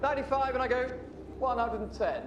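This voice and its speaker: A man playing a brisk auctioneer in an audio drama, calling bids in rapid patter from far off in an echoey, noisy hall. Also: extremely high pitch, highs cut off. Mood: brisk, businesslike. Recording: distant echoey hall with background noise.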